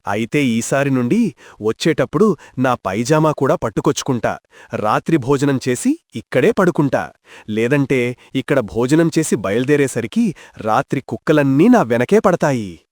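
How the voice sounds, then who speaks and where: A man's voice: mid-range voice, animated, moderate pace, darkish tone, dry recording, studio quality, natural voice. A man voicing a teasing, joking family friend in a cartoon story. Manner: jokey.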